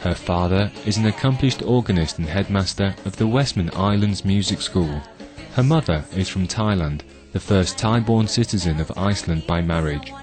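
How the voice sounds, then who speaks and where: A man's voice: low-pitched, normal speed, a little mellow. A man reading scripted promotional documentary narration. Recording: music behind the voice. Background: music.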